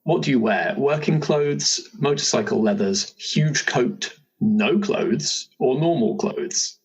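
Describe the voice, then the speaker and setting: A man's voice: medium pitch, takes it slowly, slightly rough, rough recording. A man acting as compère for a tabletop game, chatting casually and reading out options. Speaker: compère running character creation.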